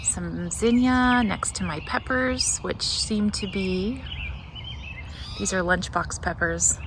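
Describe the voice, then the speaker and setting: A woman's voice: mid-range voice, slow. A woman giving a casual walking tour of a garden, chatting and thinking aloud as she goes. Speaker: garden vlog host. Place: outdoor backyard vegetable garden. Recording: noisy outdoor ambience with birdsong. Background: birds and outdoor ambience.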